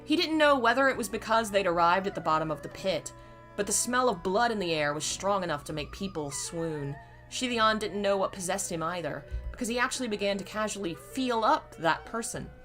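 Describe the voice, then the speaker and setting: Bright-voiced hoarse woman reading aloud. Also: mid-range voice, lively intonation, brisk, soft background music. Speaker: reader and channel host. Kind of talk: live reading of a novel.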